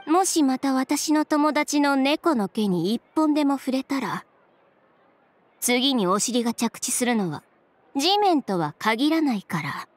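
A woman's voice: sits high, animated, a little slow, no room sound. An actress playing a poised, proud young woman in a video game, speaking with cool, haughty sarcasm. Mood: cold, menacing. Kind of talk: Japanese game voice acting.

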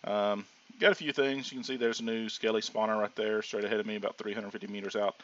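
A middle-aged man with a low voice, hoarse from a cold, walks viewers through his game in a loose, rambling way. He is full of ums and half-started sentences. The audio sounds dull and lo-fi, like a cheap home microphone. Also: fast talker. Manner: relaxed, rambling with frequent ums and restarts. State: hoarse from sinus trouble. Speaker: gaming channel host. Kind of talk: casual gameplay commentary.

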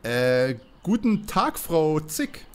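A highish, swooping male voice of an actor plays a bewildered amateur detective in a parody audio drama. He comes across as flustered and indignant. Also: sing-song, extremely slow, clean tone, full character voice.

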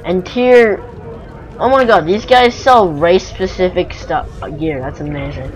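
A young man chatting casually over a video game with a friend, excitable, sarcastic and sweary. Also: sits high, a little slow, rough recording. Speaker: gamer commentating over gameplay. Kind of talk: casual gameplay chat with a friend. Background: game music and sounds.

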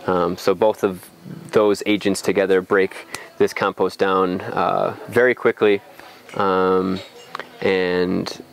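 Low-voiced young man giving an informal tour. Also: little pitch movement, takes it slowly, gravelly, slight background noise, rough recording. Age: young adult. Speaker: farm guide. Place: outdoors on a farm. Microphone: lapel mic.

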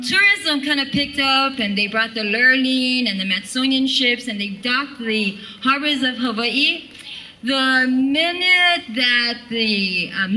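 A woman's voice: a little high, bright, forceful tone, low-quality recording. A middle-aged woman emceeing a hula show on an outdoor stage, chatty and coaxing, directing children as she explains the dances. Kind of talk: live stage emcee with singing. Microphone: stand mic on stage, camera out in the audience.

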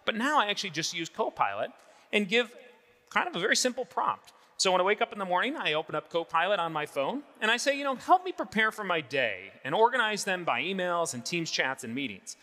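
A man's voice: high-pitched, sing-song, fairly quick, bright, forceful tone. A man in his forties, an enthusiastic presenter giving a live product demo on a large conference stage.

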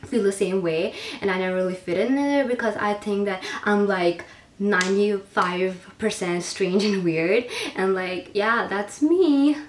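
A woman's voice: medium pitch, measured pace. An eighteen-year-old woman from Pakistan, answering viewer questions in a rambling, casual vlog style, filled with "like". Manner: chatty, rambling, heavy use of "like". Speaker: beauty vlogger. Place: bedroom, indoors. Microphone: camera mic at a short distance. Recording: echoey room, a bit distant, faint hiss.

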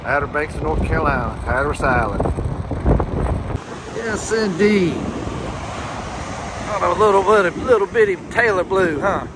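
A man talks casually behind a handheld phone on an open beach, his voice fairly high for a man. Loud wind buffets the mic and surf crashes behind him. His remarks are relaxed and drawling. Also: normal speed, pauses often. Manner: easygoing, chatty, drawling remarks. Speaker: vlogger filming a fishing trip. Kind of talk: casual narration behind the camera. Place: windy ocean beach outdoors. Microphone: handheld phone camera.

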